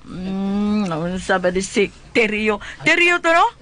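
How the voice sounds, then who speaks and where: A woman's voice: mid-range voice, very animated, measured pace, bright, forceful tone. A woman with a Cebuano Filipino accent co-hosting a radio show in casual banter.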